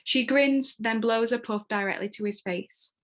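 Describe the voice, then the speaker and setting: A woman with a fairly high voice reads screenplay action for a table read. Her delivery is scripted and descriptive, moving through scene headings and stage directions. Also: normal speed.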